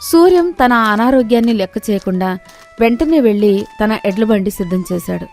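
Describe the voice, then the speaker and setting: A woman's voice: medium pitch, animated, normal speed, a little mellow, soft background music. A woman reading scripted narration for a moral story as its narrator.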